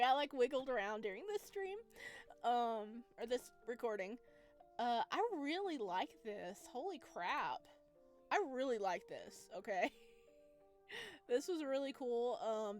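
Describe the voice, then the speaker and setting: A woman hosting a gaming video, giving casual, gushing, enthusiastic let's-play commentary. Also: on the high side.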